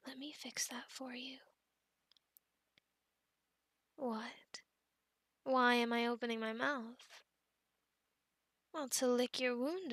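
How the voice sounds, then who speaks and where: A woman playing a possessive, obsessive female werewolf in an ASMR roleplay, often whispering, formally worded and softly menacing, close to the microphone. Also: high-pitched, dry recording, exaggerated voice. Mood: teasing, tender. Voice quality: breathy whispering. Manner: slow, formal wording, soft menacing coaxing, often whispering.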